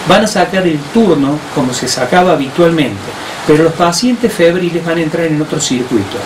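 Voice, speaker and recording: A man with an Argentine accent, a hospital director who explains health measures on the radio in a measured way, with frequent hesitations. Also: on the high side, animated. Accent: Argentine Spanish. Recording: roomy distant mic with faint noise.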